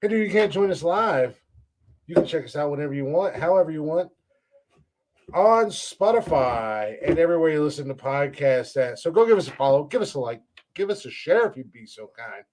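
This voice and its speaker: A young man hosting a casual comedy podcast, loud and joking, swearing often and laughing a lot. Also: on the high side, sing-song, a little fast. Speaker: podcast host.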